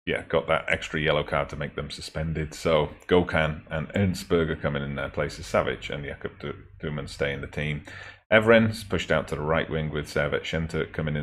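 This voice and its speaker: Very deep-voiced man, slightly raspy after flu. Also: slight background noise. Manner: chatty, self-correcting, wry asides. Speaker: gaming video host. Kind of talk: casual game commentary.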